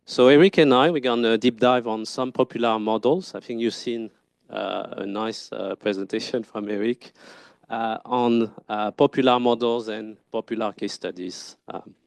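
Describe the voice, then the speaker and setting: A man with a French accent, introducing himself as a guest speaker at a conference talk, polite and pausing often with fillers. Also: mid-range voice, steady tone, vocal fry, clean tone, dry recording. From France. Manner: polite, frequent filler pauses. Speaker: guest speaker, branding firm founder. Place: event stage.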